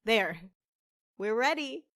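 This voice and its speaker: A woman's voice: on the high side, expressive, takes it slowly, fairly bright tone, dry recording, clean studio sound, heavily acted. A woman playing an earnest, hopeful young witch who runs a cafe, in a conversational audio drama style. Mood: upbeat.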